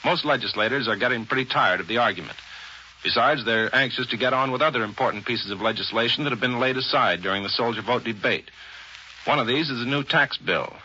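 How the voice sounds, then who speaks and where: A man's voice: medium pitch, fairly quick, sharp tone. An American man giving a formal, matter-of-fact 1940s radio news report as a correspondent. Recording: dull, faintly noisy 1940s radio recording.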